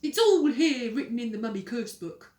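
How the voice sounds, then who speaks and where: A woman acts out a scheming young boy from a children's storybook. He is forceful and melodramatic, with big swoops in pitch. The sound has a roomy echo. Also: very animated, moderate pace, far from the mic. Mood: scheming, ominous. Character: Horrid Henry, loud, blustering, scheming young boy.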